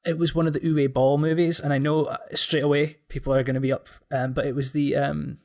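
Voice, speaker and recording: A man's voice: on the high side, flat delivery, fairly quick, darkish tone. A man co-hosting a podcast in casual, conversational chat. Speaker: podcast co-host.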